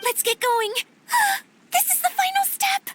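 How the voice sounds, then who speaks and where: A woman's voice: extremely high pitch, animated, a little slow, heavy background noise. A woman voicing a shy but cheerful female water spirit mascot in a video game cutscene, bubbly and easily flustered. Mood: bubbly, confident.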